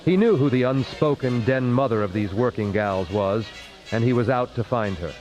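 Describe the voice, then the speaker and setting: A man's voice: medium pitch, lively intonation, moderate pace, darkish tone. A man hosting and narrating a parody radio drama in a theatrical old-time announcer style. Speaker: host and narrator. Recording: muffled old-radio sound with music.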